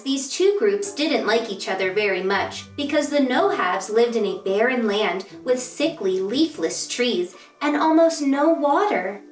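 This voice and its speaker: A woman reading aloud to children as a story-time host, with an expressive, deliberate storytelling delivery. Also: a little high. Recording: roomy echo, distant mic, music behind.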